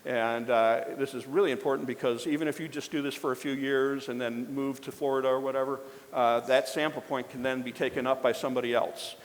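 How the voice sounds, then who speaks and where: A man presenting at a public forum and introducing the next speaker, talking haltingly with many fillers and restarts. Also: medium pitch, fairly even intonation, big-room sound, poor audio.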